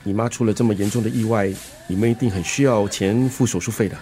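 A man's voice: lowish voice, fairly even intonation, fast, dark tone, faint music behind, close to an everyday voice. An actor playing a breezy, caring middle-aged father and musician in a radio drama, flippant, with a Singaporean accent. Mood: earnest. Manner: flippant, bantering. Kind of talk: Mandarin radio drama acting.

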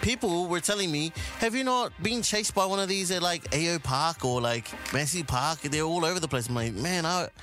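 A man's voice: fairly high pitch, normal speed, hardly any pauses, bright, forceful tone. A man with a New Zealand accent hosting a radio show with casual, joking, slangy banter into a close studio mic. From South Auckland, New Zealand. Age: adult. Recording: music bed behind the voice.

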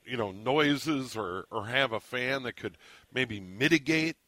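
A man's voice: medium pitch, animated, unhurried, pushed and bright, no echo. A man hosting a radio call-in show, asking questions with frequent stammered restarts. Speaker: radio talk show host. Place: radio studio. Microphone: studio microphone.

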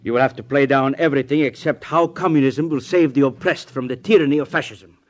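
Menacing communist agent played by a male actor, commanding and condescending, measured delivery, old-time radio recording. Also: medium pitch, natural voice. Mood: stern, instructing. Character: stern, menacing male Soviet agent and party boss. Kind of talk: 1950s radio drama acting. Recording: vintage 1950s radio recording.

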